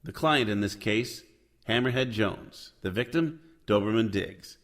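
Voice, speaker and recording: A man's voice: fairly low pitch, lively intonation, unhurried, fairly bright tone. An actor playing a hard-boiled private detective who narrates his case in a noir audio drama. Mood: moody, storytelling. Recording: music under the voice.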